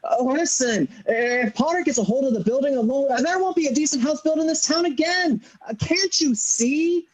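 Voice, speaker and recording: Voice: sits high, normal speed, lightly acted, low-quality recording. An actor playing an anxious, pleading young small-town loan man in a radio play, stammering and hesitant over a video call. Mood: desperate. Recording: video call audio with background voices.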